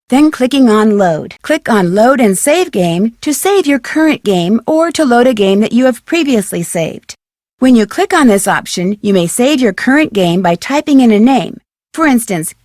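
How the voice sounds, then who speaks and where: A woman's voice: on the high side, no echo, clean studio sound, lightly acted. A young woman playing a friendly, curious amateur detective, chipper as she explains things in a scripted game tutorial.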